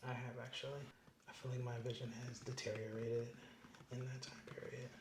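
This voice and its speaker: A woman's voice: a bass voice, flat delivery, a little fast. A woman playing a patient in a medical exam roleplay, giving casual, conversational and slightly hesitant answers. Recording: echoey room with clear background noise.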